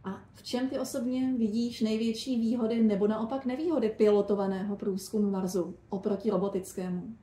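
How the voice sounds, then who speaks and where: A woman's voice: medium pitch, steady tone, hardly any pauses, warm tone. A woman hosting an informal interview podcast, asking questions and addressing listeners. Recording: roomy, echoing sound.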